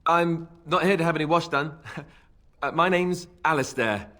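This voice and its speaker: A man's voice: on the high side, moderate pace, sharp tone. A man playing an awkward, earnest young warrior in a video game, hesitant, self-deprecating and prone to babbling.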